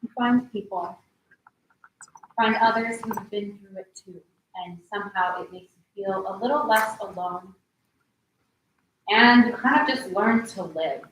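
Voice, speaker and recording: A young actress in a staged reading playing a frank, supportive friend. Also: female voice, mid-range voice, unhurried, long pauses, a bit bright, lightly acted. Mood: gentle, reflective. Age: young adult. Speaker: actor. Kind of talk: staged play reading, naturalistic drama. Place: large echoey indoor room. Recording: echoey hall, distant mic, faint noise.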